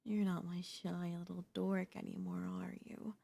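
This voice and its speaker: A woman's voice: on the low side, level tone, gravelly, warm tone, full character voice. Possessive girl-next-door bully played by a female performer, ASMR roleplay, coy and smug, lingering pace. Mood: smug teasing. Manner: smug, coy, drawn-out teasing. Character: teasing, possessive young woman, former childhood bully next door.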